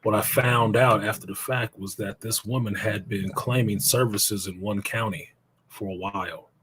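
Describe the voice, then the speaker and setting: A man's voice: fairly low pitch, steady tone, moderate pace, a bit bright, slight background noise, poor audio. A middle-aged man speaking as an interview guest, telling his own story in a blunt, plainspoken way and cursing now and then.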